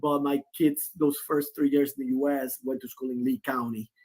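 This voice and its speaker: A man's voice: medium pitch, flat delivery, normal speed, darkish tone, husky. A man in his forties, a guest on a remote video call who talks at length with many restarts. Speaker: guest, player's father. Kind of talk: casual interview.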